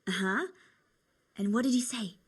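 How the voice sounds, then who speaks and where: A woman's voice: mid-range voice, normal speed, slightly rough. A young woman playing a cheerful, teasing medieval tavern girl in a video game, chatty and coaxing. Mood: friendly. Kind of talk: video game cutscene acting.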